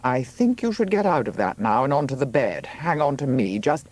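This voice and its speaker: A man voicing a practical, gruffly tender countryman in an audiobook story, firm and urging. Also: mid-range voice, big pitch swings, moderate pace, darkish tone, no room sound, pitched above the performer's own voice. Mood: urging, reassuring. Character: steady, gruffly tender countryman helping at a birth.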